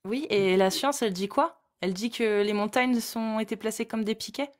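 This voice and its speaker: Higher-voiced woman, probing questions. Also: moderate pace. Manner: probing, patient questions, presses for sources. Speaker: debate host questioning a guest. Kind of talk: live online religious debate.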